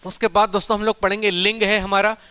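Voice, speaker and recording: High-pitched, bright man teaching. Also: flat delivery, fast talker, clear, resonant tone, no echo. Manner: emphatic, colloquial, asks and answers own questions. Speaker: exam coaching teacher. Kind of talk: informal motivational lecture to students.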